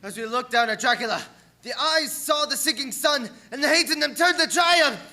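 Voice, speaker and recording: Male actor as shaken young husband narrating radio horror: very high, piercingly bright, intense. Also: expressive, normal speed, exaggerated voice. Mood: dread. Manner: intense, dramatic.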